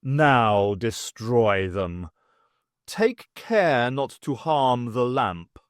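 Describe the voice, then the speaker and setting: A man acts out a cold alien commander in an audiobook. The voice drags out every order very slowly and with menace, imperious throughout. The recording is close and dry. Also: medium pitch, expressive, clean tone, no room sound. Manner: slow, drawn-out commands.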